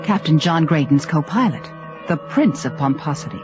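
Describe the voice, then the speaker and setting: An actress playing a brisk, bitter female research director on a space station in a science fiction radio drama. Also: low voice, lively intonation. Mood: dismissive, bitter. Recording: muffled radio drama recording over music.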